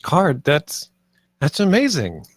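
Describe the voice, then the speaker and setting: A man's voice: fairly high pitch, huge pitch swings, slow pace, no room sound, clean studio sound, heavily acted. A man reading the part of a downcast, subdued creative developer at a screenplay table read. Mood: stunned.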